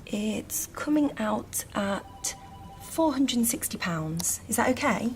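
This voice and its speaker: A woman's voice: a little high, expressive, takes it slowly, a little hiss or hum. An actress playing a courteous but doubtful travel agent in an audio drama, businesslike and slightly hesitant with her questions. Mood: polite, doubtful. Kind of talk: naturalistic audio drama acting.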